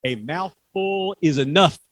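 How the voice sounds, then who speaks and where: A man's voice: fairly high pitch, slow, no room sound, studio quality. A man voicing a big, hungry, menacing dragon with a snarl, reading from a children's storybook. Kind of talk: children's storybook read aloud.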